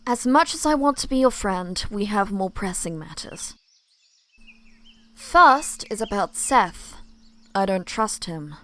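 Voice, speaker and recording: A woman's voice: medium pitch, animated, slow pace, sharp tone. A woman playing a suspicious, secretive supernatural ally, serious and matter-of-fact, in amateur roleplay acting. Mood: stern.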